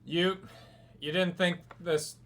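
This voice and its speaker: A man's voice: high-pitched, level tone, slow, very noisy. A man casually commentating on a game livestream, joking and talking back to the characters. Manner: jokey, talks back to the game. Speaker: game streamer.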